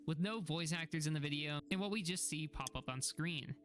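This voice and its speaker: A man's voice: fairly high pitch, fairly quick. A man giving a scripted narration that recaps horror videos.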